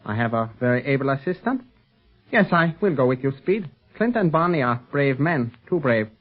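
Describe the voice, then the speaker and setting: A composed, courteous secret police agent in an old 1930s radio adventure. He speaks formally and carefully in a slightly rough, medium-pitched male voice. The sound is narrow and tinny. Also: animated, faint music behind. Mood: calm, considerate. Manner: formal, measured, avoids contractions. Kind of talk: 1930s radio serial acting.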